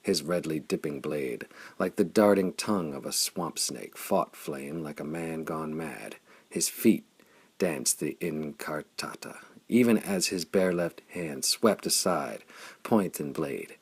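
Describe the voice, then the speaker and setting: A man with a gravelly, husky voice narrating pulp adventure fiction in a dramatic, intense storytelling manner. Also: extremely low pitch, takes it slowly. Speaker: audiobook narrator.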